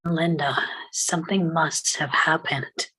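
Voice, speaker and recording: A woman's voice: low-pitched, fairly even intonation, takes it slowly, pushed and bright, husky, exaggerated voice, poor audio. A woman playing an earnest, reproachful mother in a dramatic script read, reasoning insistently. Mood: measured, probing. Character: earnest, reproachful mother urging parental responsibility.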